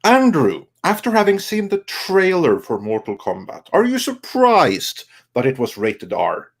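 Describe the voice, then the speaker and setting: A man hosts a casual live show. He talks slowly and haltingly as he reads out messages and chats with his guests. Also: a little high, huge pitch swings. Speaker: host of a live stream show.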